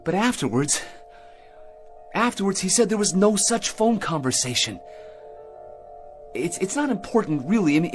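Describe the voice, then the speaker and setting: An actor playing a shaken American astronaut husband in a radio drama, speaking earnestly and haltingly and insisting he is rational. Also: high voice. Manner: halting, earnest delivery with repeated words.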